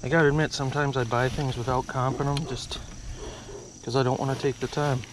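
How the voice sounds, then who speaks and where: A man's voice: medium pitch, flat delivery, a little fast. Middle-aged male reseller, offhand and musing, outdoor body-cam audio with insect noise. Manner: offhand, thinking aloud, unsure of values. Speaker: reseller showing his haul. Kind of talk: casual vlog narration. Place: outdoors by a car trunk, rural.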